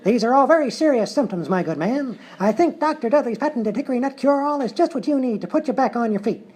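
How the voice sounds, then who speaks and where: A folksy medicine show quack acted by a woman pitches cures in showy salesman patter. The voice is fairly high and husky, performed as live radio-style sketch comedy. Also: lively intonation, quick pace, darkish tone. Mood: smooth assurance.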